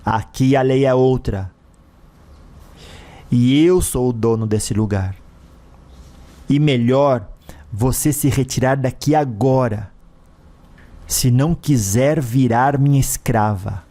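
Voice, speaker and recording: Man voicing a menacing, slow-spoken tyrant of the underworld. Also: medium pitch, mellow, lightly acted. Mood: scornful, threatening. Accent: Brazilian. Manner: menacing, imperious, taunting. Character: cruel, mocking male ruler of a hellish spirit realm.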